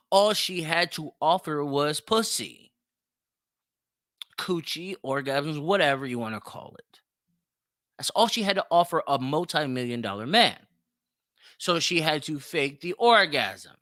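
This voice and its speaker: A man's voice: on the high side, sharp tone, no room sound, clean studio sound. A man hosting a solo opinion show, speaking bluntly and profanely with mocking, emphatic repetition. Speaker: podcast host.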